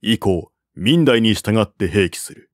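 A man reading a historical tale as a narrator, in a measured, formal storytelling manner. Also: fairly low pitch, lively intonation, no room sound, clean studio sound. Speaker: narrator, audiobook-style reader. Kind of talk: scripted narration of a historical Chinese novel.

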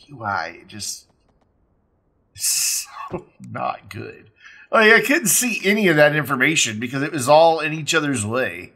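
A man with a rough, raspy voice from being sick, talking casually as he plays a game, rambling with ums and blunt asides. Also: mid-range voice, sharp tone. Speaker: let's play host. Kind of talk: casual gameplay commentary.

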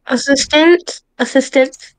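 Woman playing a spoiled, high-voiced rich girl. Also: takes it slowly, no echo. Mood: demanding. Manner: haughty, imperious, repeats demands. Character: spoiled, demanding rich young woman ordering her assistant. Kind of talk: improvised voiced roleplay.

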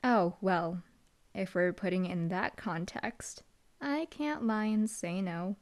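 A woman's voice: mid-range voice, slow pace, natural voice. A young woman voicing a dry, teasing female hellhound demon bodyguard in an audio roleplay. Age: young adult. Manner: dry, teasing, laid-back.

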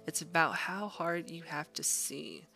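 Woman, lowish pitch, gravelly fry, art instructor, informal demonstration, encouraging conversational delivery. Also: very animated, measured pace, bright, forceful tone, soft background music, rough recording. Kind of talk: informal narrated drawing demonstration. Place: voice-over to a screen recording.

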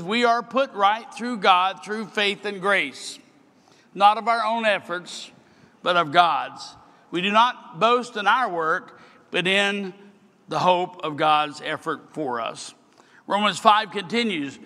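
An older man, a bishop, giving an earnest sermon address at a podium, slowing down as he reads scripture. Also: high voice, bright, forceful tone. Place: large hall at a podium. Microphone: podium microphone. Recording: long hall reverb.

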